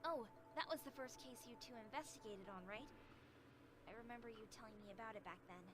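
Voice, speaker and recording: A woman voicing a gentle, curious, friendly young woman in an anime visual novel. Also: fairly high pitch, quick pace, natural voice. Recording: background music under game voice.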